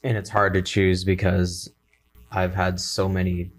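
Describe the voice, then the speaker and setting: A man in his twenties, a worship leader and college student, chatting casually on a podcast, relaxed and rambling with many fillers. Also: low-pitched, measured pace. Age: 20s.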